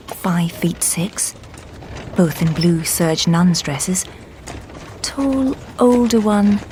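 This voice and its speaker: Cool-headed lady detective, crisp and clipped. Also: fairly low pitch, darkish tone, a little hiss or hum. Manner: crisp, composed, clipped when dictating notes. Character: sharp, composed female lady detective. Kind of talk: radio drama acting.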